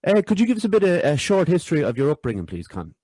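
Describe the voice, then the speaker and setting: A man's voice: fairly high pitch, wildly swooping pitch, racing, dark tone, no room sound. A man hosting a podcast, easygoing and chatty. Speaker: podcast host. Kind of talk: casual interview chat.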